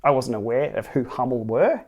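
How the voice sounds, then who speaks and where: A middle-aged man with an Australian accent speaks straight to camera from a small home studio on a close mic. His delivery is earnest and lively, partly read from a script, with dry, wry asides. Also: medium pitch, normal speed, dull audio. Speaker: cycling tech YouTuber. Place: small home studio with city backdrop, indoors.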